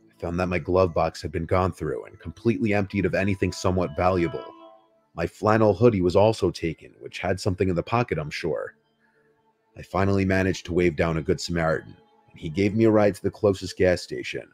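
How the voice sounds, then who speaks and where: A man narrating a scripted first-person scary story in a measured, unhurried way. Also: low voice, a little mellow. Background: music behind the voice.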